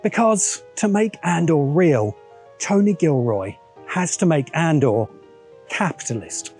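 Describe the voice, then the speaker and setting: A man hosting a video essay, reading scripted narration in a measured, emphatic way with dramatic pauses. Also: on the high side, lively intonation, a little mellow, faint music behind.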